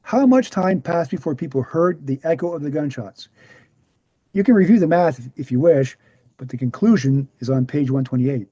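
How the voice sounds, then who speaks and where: A man playing an earnest, weary veteran researcher explaining things in a measured way at a screenplay table read. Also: a little high, animated, warm tone, breathy edge, dry recording. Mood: explanatory.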